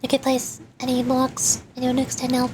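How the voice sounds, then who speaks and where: A woman's voice: high-pitched, monotone, fairly quick, noisy background. A woman livestreamer chatting casually as she plays a game, with a playful, rambling manner. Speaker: VTuber game streamer. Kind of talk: casual livestream chat while gaming. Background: game music.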